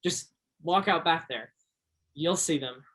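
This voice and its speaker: An actor playing an earnest, curious twenty-two-year-old backwoods local in a stage play, gentle and simple in manner. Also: mid-range voice, slow, slightly rough. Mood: friendly, awkward.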